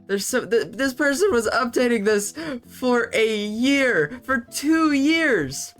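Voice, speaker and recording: A man's voice: extremely high pitch, lively intonation, slow pace, a bit bright, soft background music. A man giving comedic commentary as he reads fanfiction aloud, full of sarcastic, self-interrupting asides and laughs. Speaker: YouTuber reading fanfiction aloud.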